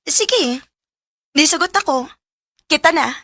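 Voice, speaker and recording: A young woman playing a gentle, free-spending girlfriend in an amateur radio drama. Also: sits high, animated, slow pace, no room sound, studio quality, exaggerated voice. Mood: shy.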